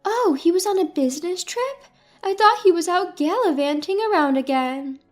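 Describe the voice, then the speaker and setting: A woman voicing a sharp, logical older mother-in-law in a narrated story, dry and decisive. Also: very high voice, lively intonation, normal speed, soft background music, pitched above the performer's own voice. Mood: wry.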